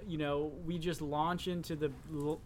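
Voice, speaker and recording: A man's voice: on the high side, little pitch movement, moderate pace, a little mellow, slight background noise. A man telling stories casually as a podcast co-host, in an emphatic, informal way, jumping in readily. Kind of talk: casual animated storytelling.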